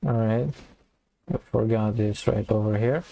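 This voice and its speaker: Male tutorial instructor, informal and halting, with a lowish, very even delivery for step-by-step screen walkthroughs. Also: vocal fry, dull audio. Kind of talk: casual screen-recorded coding walkthrough, thinking aloud.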